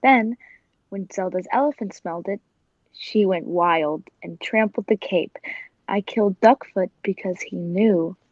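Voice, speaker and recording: A woman's voice: medium pitch, a little slow, a little mellow, dry recording, dull audio, natural voice. A woman playing a cold, menacing, murderous circus tiger trainer in a 1940s style radio play. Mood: coldly menacing.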